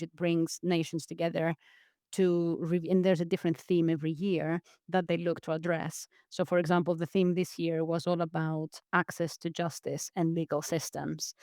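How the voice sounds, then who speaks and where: A woman's voice: deep voice, a little mellow, dry recording, clean studio sound. A woman from Greece, a podcast guest, talking earnestly in an interview and often restarting her sentences. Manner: earnest, with frequent restarts and repeated words. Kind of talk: reflective interview talk.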